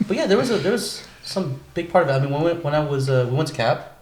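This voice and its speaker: A man's voice: a little high, animated, fast talker, darkish tone. An American man in casual, slangy chat, swearing freely as he reminisces with friends. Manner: slangy, profane, addresses friends as bro and dude. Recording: echoey room with clear background noise.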